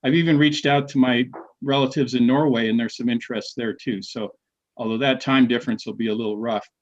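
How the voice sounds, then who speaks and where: A middle-aged man, a history professor and playwright, talking casually on a video call, halting with many restarts and fillers. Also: medium pitch, fairly even intonation. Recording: dull lo-fi call audio.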